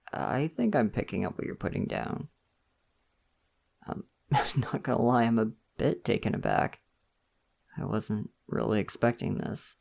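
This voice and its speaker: Man playing bashful male college crush, ASMR roleplay, casual and rambling, awkwardly flirty, animated intonation, crackly vocal fry. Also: medium pitch, full character voice. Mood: flirty, coy. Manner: hesitant, rambling, chuckling self-consciously. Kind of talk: intimate ASMR roleplay, casual conversational acting.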